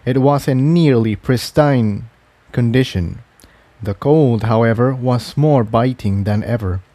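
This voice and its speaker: A man's voice: medium pitch, a little slow, dark tone, no echo. A man reading a scripted adventure story aloud as its narrator. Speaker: fan fiction narrator.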